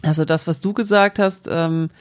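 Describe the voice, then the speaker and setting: A woman's voice: lowish voice, fairly even intonation, normal speed. A woman, explaining things in a casual, colloquial way as a co-host. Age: 40s. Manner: informal, colloquial with some English slang.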